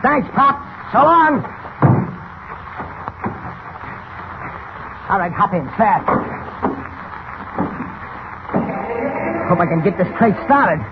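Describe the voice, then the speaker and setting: Gruff gangster on hissy vintage radio. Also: male voice, high-pitched, big pitch swings, moderate pace, heavily acted. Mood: brisk, menacing. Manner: slangy tough-guy talk, curt orders. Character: gruff, bossy male armed gangster, distrustful and scheming. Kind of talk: 1940s radio acting. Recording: noisy, muffled vintage radio recording.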